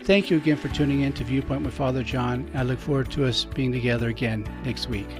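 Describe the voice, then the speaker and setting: A man's voice: mid-range voice, fairly even intonation, quick pace, music in the background. A man, a priest who hosts a radio talk show, chatty and joking as he wraps up with thanks and plugs. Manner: chatty, jokey, warm sign-off.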